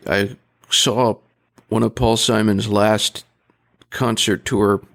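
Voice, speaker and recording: A man hosting an interview, asking measured, curious questions. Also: fairly low pitch, steady tone, gravelly, fairly bright tone. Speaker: interview host. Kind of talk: casual interview conversation.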